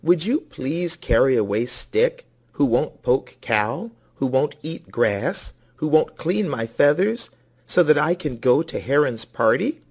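A man's voice: mid-range voice, lively intonation, slow, husky, pitched above the performer's own voice, full character voice. A man voicing a vain, pleading storybook rooster who calls out and begs insistently.

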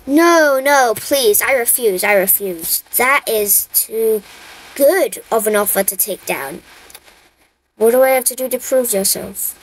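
A woman playing an excitable, skeptical young hero in an amateur cartoon, chatty and exclamatory. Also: a little high, unhurried, slight background noise, natural voice, rough recording. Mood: excited. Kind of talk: amateur animated series acting.

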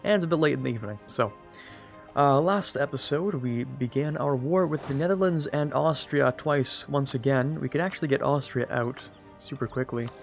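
A man's voice: mid-range voice, normal speed, gravelly, a bit bright, faint music behind. A young man hosting a strategy game let's-play, his voice slightly strained, chatting casually and talking to the computer rivals as he plays. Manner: chatty, self-deprecating, talks to the computer rivals. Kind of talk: casual gameplay commentary.

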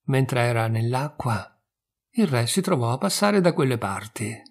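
A man in his sixties from Italy, reading a fairy tale aloud slowly and expressively. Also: medium pitch, gravelly. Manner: slow, measured, expressive. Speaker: storyteller.

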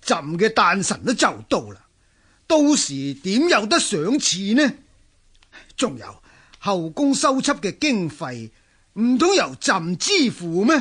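Haughty complaining emperor, radio drama, high pitch, animated swings, slow pace. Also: male voice, breathy edge, full character voice. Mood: indignant, petulant. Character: petulant, imperious Chinese emperor. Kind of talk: Cantonese radio drama acting.

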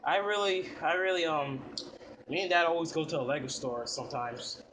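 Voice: mid-range voice, normal speed, background noise, close to an everyday voice. A performer voicing a grumpy Koopa brother in a toy skit, muttering curtly and dismissively. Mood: reluctant.

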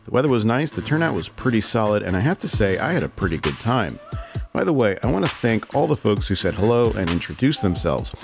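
A man's voice: fairly low pitch, very animated, a little fast, vocal fry. A man narrating a channel video in a dry, self-deprecating scripted voice-over. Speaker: channel host. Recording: music bed behind voice.

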